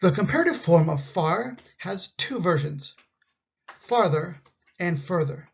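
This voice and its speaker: A Canadian man with a husky, breathy voice reads out a grammar lesson for learners of English, spacing each example sentence out. The recording has a slightly dull, muffled sound. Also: sits high, lively intonation. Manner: slow, carefully enunciated example sentences. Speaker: English teacher.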